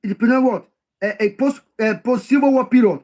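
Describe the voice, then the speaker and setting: A man's voice: very high voice, a little fast, darkish tone. A man reading passages from a history text and explaining them, repeating key phrases for emphasis. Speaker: history commentator.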